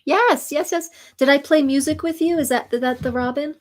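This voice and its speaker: A woman hosting a spiritual sound healing livestream, talking slowly and soothingly while reading viewer comments and laughing softly. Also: high voice. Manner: slow, soothing, reflective, with soft laughs and restarts.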